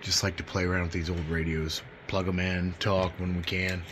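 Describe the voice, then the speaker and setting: A man's voice: sits low, level tone, normal speed. A man giving a casual hands-on demonstration as a hobbyist host, talking in a folksy, informal, enthusiastic way. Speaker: host of a CB radio demo channel. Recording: clear background noise.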